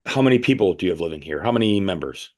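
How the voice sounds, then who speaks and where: Curious, stammering interviewer, lively delivery. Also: male voice, low voice, racing. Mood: hesitant, probing. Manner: hesitant, stammering, inquisitive. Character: curious, flustered male interviewer visiting a strange commune. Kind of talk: audio play acting.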